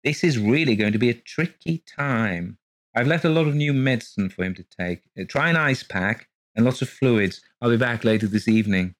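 A brisk, reassuring family doctor, as a man reading the story voices him. It sounds close to the reader's own voice. It is a storybook reading. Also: medium pitch, voice pushed up, lightly acted. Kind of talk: audiobook character voice.

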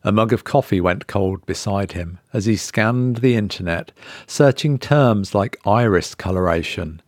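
Low-voiced man narrating a horror story. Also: no echo, studio quality. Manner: measured, suspenseful storytelling. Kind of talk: scripted dramatic narration.

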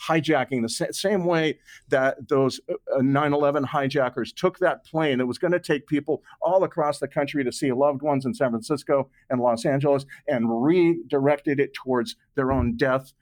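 A man with a mid-pitched voice talks as an interview guest. He explains things off the cuff in a halting way, doubling back on words and restarting phrases as he works through his thought. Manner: halting, repeats and restarts phrases while reasoning aloud. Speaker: interview guest, author and journalist. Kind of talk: unscripted explanatory interview talk.

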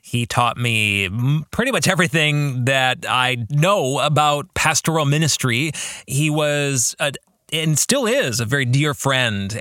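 A man with a bright, slightly high voice talks slowly in an earnest, reflective way, like a pastor posing questions. He hosts a podcast about his own book and speaks directly to the listener. The sound is close and dry. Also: dry recording. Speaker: podcast host and author discussing his book.

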